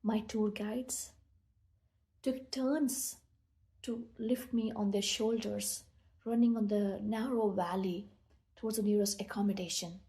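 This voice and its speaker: A woman with an Indian accent and a soft-edged, mellow voice at a medium pitch. She tells a personal story to listeners in an earnest, halting way, sometimes doubling back on her words. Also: steady tone. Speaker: meditation speaker.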